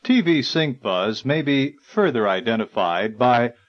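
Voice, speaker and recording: Lo-fi, slow-paced male narrator. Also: mid-range voice, animated. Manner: formal, measured, articulate delivery. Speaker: narrator of an instructional audio guide. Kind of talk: scripted educational narration. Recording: dull, lo-fi 1980s tape recording.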